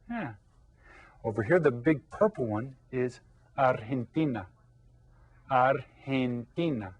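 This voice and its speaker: A man teaching a Spanish lesson to young children, explaining patiently and repeating key words. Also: medium pitch. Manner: patient, explains slowly with repetition.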